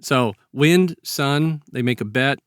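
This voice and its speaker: A man with a medium-pitched voice, recorded dry and free of room sound, co-hosts a podcast. He talks casually and colloquially, rambling as he explains and slipping into little quoted lines. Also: slow pace, clear, resonant tone, no room sound. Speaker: podcast co-host. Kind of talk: casual chat, informal storytelling.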